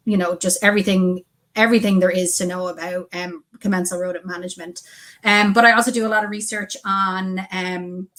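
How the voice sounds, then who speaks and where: A woman's voice: lowish voice, a bit bright. A woman from Ireland with an Irish accent, a guest researcher talking frankly and with jokes, full of ums. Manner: frank, joking, many ums. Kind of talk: casual interview talk.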